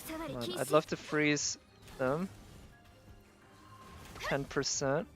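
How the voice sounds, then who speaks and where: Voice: medium pitch, huge pitch swings, slow, over music. A game livestreamer with a mid-range voice commentates on their own play in a casual, unscripted way. They talk to themself as they go, with the odd mild swear word. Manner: talking to self while playing, mild swearing. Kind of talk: casual live gameplay commentary.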